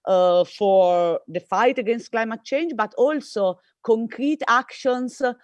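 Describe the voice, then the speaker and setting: Woman, Italian accent, meeting host, halting with fillers, dry close sound. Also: mid-range voice, no room sound. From Italy. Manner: hesitant, many fillers. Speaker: event host. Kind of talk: moderating an online meeting. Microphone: video call.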